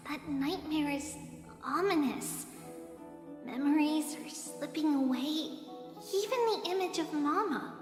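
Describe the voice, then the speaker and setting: A woman voicing a gentle, wistful, ancient demigod in the body of a small girl, in an anime game. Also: high voice. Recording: echoey hall reverb under music.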